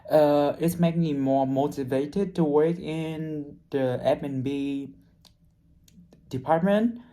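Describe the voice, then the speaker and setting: A young man with a warm, mellow voice, speaking English as a second language. He talks haltingly and restarts often while he hunts for the right words. The feel is an unscripted personal video reflection. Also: fairly high pitch. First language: not English. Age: young adult. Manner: halting, frequent restarts and fillers, searching for words. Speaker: hospitality trainee.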